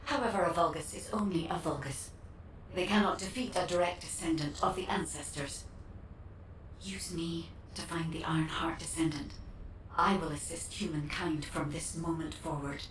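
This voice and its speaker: A woman voicing an earnest, helpful AI guide in a video game, speaking formally with measured, precise diction. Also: low voice, fairly bright tone, echoey room, recorded from a distance, a little hiss or hum, rough recording. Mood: solemn, assured. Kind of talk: scripted video game dialogue.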